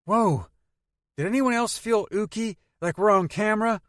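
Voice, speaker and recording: A man's voice: sits high, fairly bright tone, dry recording, clean studio sound, pitched above the performer's own voice. A man voicing a tough, decisive adult woman who talks bluntly and a bit sarcastically, in an audiobook reading. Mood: wary. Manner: brisk, no-nonsense, a little sarcastic.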